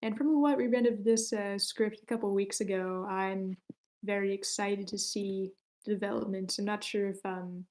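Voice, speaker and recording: A woman's voice: mid-range voice, fairly even intonation, normal speed, darkish tone. A woman hosting a script table read in a casual way over an online group call.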